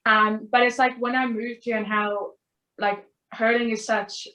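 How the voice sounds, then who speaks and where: A woman's voice: a little high, flat delivery, unhurried, sharp tone. A woman with a South African accent, asking interview questions in a chatty way, with lots of "like". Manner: chatty, heavy use of "like". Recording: echoey room.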